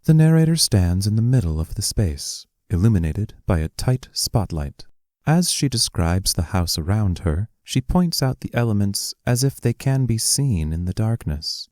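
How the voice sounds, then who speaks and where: A man reading stage directions for an audio play in a measured, descriptive way. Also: fairly low pitch, darkish tone, no room sound, clean studio sound. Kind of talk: scripted narration of an audio play.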